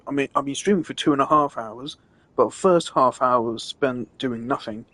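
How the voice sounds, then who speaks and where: A man's voice: medium pitch, fairly bright tone. A man giving a casual, opinionated rant on a live stream, emphatic and exasperated in the way he talks.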